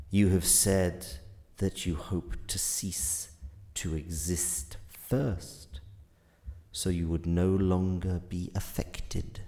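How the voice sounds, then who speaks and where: An actor playing an ancient godlike being of paradox in an audio drama, weary and enigmatic, speaking in solemn, halting phrases with long pauses. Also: male voice, low-pitched, mellow, exaggerated voice. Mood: grave, accusing.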